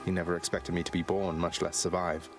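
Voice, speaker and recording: A man playing a defiant but courteous young prince in a video game, speaking in a measured, wry way. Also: deep voice, little pitch movement, over music. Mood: earnest, explaining. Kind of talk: dramatic video game acting.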